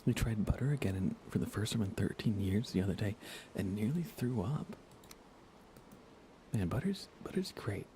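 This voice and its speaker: Soft-spoken, low-voiced man, ASMR chat. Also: animated, moderate pace, long pauses, a little hiss or hum, low-quality recording. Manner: soft-spoken, often dropping into a whisper. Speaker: livestreamer playing a video game. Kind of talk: casual ASMR livestream chat.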